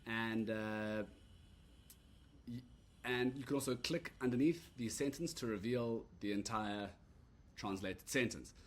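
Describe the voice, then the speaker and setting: A man giving a relaxed, rambling walkthrough of an app he built, full of "uh" and "so yeah" fillers. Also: medium pitch, a little slow. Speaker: app creator demoing his product. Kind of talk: casual screen-recorded product demo. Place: at a computer recording a screen demo.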